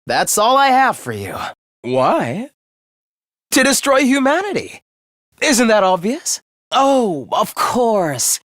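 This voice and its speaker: A man's voice: very high-pitched, huge pitch swings, takes it slowly, long pauses, a bit bright, no echo, clean studio sound, full character voice. A man voicing a young male student in an anime game, sweetly idealistic yet secretly sinister, with a light, polite, theatrical delivery. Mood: cold, gloating.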